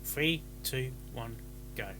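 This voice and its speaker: Bright-voiced man muttering casual live reactions. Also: mid-range voice, slow, soft background music, low-quality recording. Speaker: streamer reacting to a fan fiction reading.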